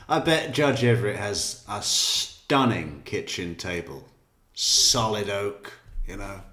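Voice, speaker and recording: A man giving casual off-the-cuff commentary in a halting, sardonic manner. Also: on the low side, sharp tone. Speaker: commentator reacting to a legal panel show. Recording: roomy, echoing room sound.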